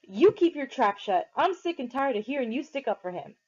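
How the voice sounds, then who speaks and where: A woman's voice: on the high side, expressive, brisk, voice pushed up. A woman voicing a stern young man who scolds his little brother at the top of his voice, on a dull lo-fi recording. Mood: angry scolding. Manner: shouting, scolding.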